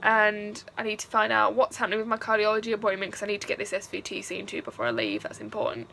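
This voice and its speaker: A woman's voice: mid-range voice, fast, vocal fry, sharp tone, a little hiss or hum. A young woman from England with a Mancunian accent, chatting casually to camera in a rambling vlog with lots of fillers, stuffy-nosed after crying. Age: young adult. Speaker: vlogger.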